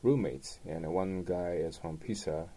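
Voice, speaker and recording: A man's voice: sits low, unhurried, warm tone. A man co-hosting a podcast, telling stories casually in informal phrasing full of "you know". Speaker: co-host guest producer.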